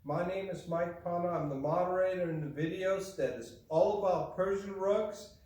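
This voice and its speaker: A man speaks from well back in a very reverberant room. His voice sits a little high, and he presents in an unscripted, deliberate manner, pausing and repeating himself as he goes. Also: a little mellow, dull audio. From Germany. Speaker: video host and Persian rug specialist. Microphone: camera mic from far away. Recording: very echoey room, distant microphone.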